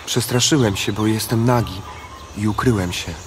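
A man's voice: on the low side, normal speed, noisy background, lightly acted. A man playing the frightened, ashamed first man, defensive, in a scripture audio drama.

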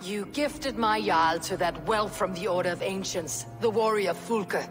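A woman playing a proud, blunt young Viking warrior in a video game, in a low, husky, measured voice with a dry challenge. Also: music in the background, lightly acted. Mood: sardonic. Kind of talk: video game cutscene acting.

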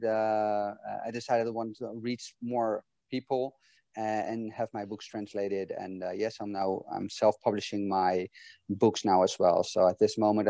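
A man's voice: on the low side, dry recording. Dutch-accented man on a video call. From Netherlands. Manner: relaxed, many fillers and restarts. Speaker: guest author. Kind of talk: casual interview chat over video call. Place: home room.

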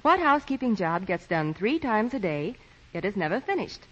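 Female 1940s commercial co-presenter, lively intonation, scripted banter, muffled broadcast. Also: medium pitch, moderate pace. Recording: muffled 1940s radio recording.

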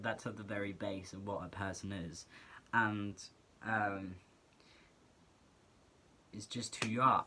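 A teenage guy with a Norwegian accent and a bright, clear-toned voice at an ordinary pitch talks straight to the camera in his small bedroom. He speaks earnestly and casually, stringing thoughts together with plenty of filler words, as a second-language English speaker. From Norway. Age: teens. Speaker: vlogger.

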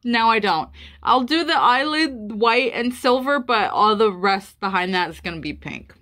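Chatty young woman vlogger, higher-pitched voice. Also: expressive, normal speed, bright, forceful tone. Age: young adult. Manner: informal, rambling. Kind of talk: casual chat to camera. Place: small room at home, indoors.

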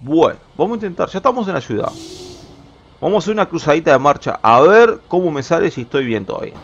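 A man's voice: on the high side, big pitch swings, fast. A young man from Uruguay with a Uruguayan Spanish accent, chatting casually and rambling as he plays a truck-driving game at home. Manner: chatty, rambling, restarts words often. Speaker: gaming YouTuber. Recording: slightly dull home recording with faint game noise.

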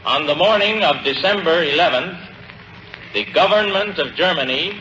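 An American man reading an official message formally as a clerk, in measured declamatory phrases, on a 1940s radio broadcast. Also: fairly high pitch, lively intonation, sharp tone, dull audio, slight background noise. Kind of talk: formal official read-out to an assembly.

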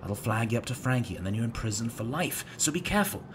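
A man playing an eccentric, quick-witted and evasive centuries-old time traveller in an audio drama, chatty and hesitant, given to exclamations. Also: medium pitch, sing-song, close to an everyday voice. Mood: brisk, advising. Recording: clear background noise.

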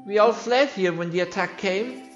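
A man who is not a native English speaker reads video game dialogue aloud in a theatrical, slightly halting way. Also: high voice, lo-fi sound, music in the background. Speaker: game playthrough creator voicing all characters.

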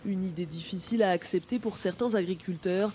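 A woman voices a scripted TV news report in a measured, even delivery. Her tone is warm and mellow, with faint ambience behind her. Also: mid-range voice, a little hiss or hum. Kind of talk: scripted voice-over narration.

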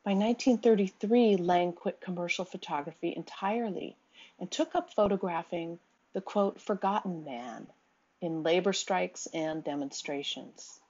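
Woman reading out a slide lecture, low and husky, kinda dull lo-fi sound. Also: darkish tone. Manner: measured, reads quotes aloud, occasional ums.

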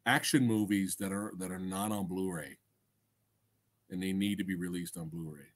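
A man's voice: deep voice, steady tone, moderate pace. A middle-aged man hosting a casual livestream, talking to viewers in an enthusiastic, slangy way with some swearing. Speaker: livestream host and film reviewer. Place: small indoor room crammed with collectibles. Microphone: desk microphone close in front.

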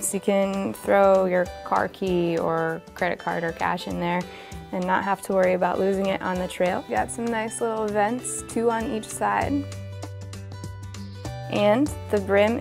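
A woman's voice: medium pitch, gravelly, a little mellow. A young woman giving a casual product demonstration. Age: young adult. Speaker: product presenter. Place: indoor studio with dark backdrop. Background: music.

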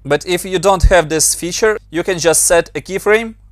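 Ukrainian-accented male tutor, a bit higher voice, very dry close mic, patient step-by-step walkthrough. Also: normal speed, dry recording. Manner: plain, step-by-step instructions with slightly non-native grammar. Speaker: motion designer and tutorial maker. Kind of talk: screen-recorded software tutorial.

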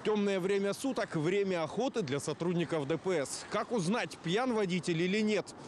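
A man reports from a city street at night, in a fairly high voice and a lightly joking tone. Traffic noise fills the background clearly. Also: normal speed. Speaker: news reporter. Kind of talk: field reporting and interview questions.

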